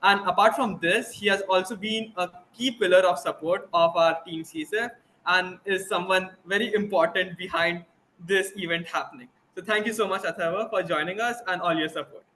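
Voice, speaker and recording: A man's voice: high-pitched, moderate pace, pushed and bright, a little hiss or hum, low-quality recording. A man hosting an online session, formally introducing a guest from a prepared bio and then asking interview questions. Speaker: host.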